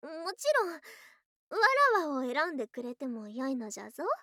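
An actress playing a proud, excitable, affectionate young female lamia wife in a voice drama, with archaic, haughty speech that easily gets flustered. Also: sits high, brisk, dry recording, clean studio sound, heavily acted. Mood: eager, shyly flustered. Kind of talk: Japanese situational ASMR voice drama.

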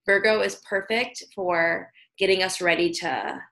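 A woman co-hosting a podcast on a video call, speaking in a sleepy, slightly raspy voice and explaining astrology in casual chat with lots of fillers. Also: lowish voice, steady tone, a little slow, a bit bright, poor audio. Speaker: podcast co-host.

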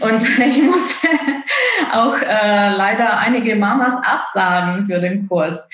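Woman with an Italian accent, speaks slowly and hesitantly, recorded in a roomy space; interview guest. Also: mid-range voice, fairly bright tone. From Italy. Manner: hesitant, many fillers. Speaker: guest, dance course founder. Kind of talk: interview answers.

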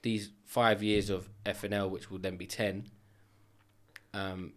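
A man in his thirties talks as a podcast guest. He is chatty and animated, racing through stories with asides. His voice sits a little low. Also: takes it slowly. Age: 30s. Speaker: business owner guest. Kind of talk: casual podcast chat.

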